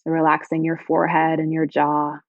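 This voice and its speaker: Low-voiced woman guiding a gentle meditation. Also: monotone, dry recording, phone-quality sound. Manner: soothing, gentle, unhurried phrasing with pauses. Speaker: yoga teacher guiding meditation. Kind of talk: guided body scan meditation.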